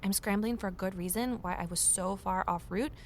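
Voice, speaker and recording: Actress as uneasy amateur sleuth lady telling her mystery, confiding, medium pitch, some background ambience. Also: fairly quick, background noise, natural voice. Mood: anxious, confiding. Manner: confiding, intent. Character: earnest, uneasy woman amateur investigator narrating her mystery. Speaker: narrator and lead character. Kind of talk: audio drama narration and dialogue.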